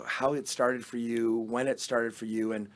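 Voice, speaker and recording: A man hosting a podcast, his voice raspy and hoarse from losing it, chatting in an animated way with many restarts. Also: mid-range voice, moderate pace. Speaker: podcast host. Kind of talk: casual interview chat.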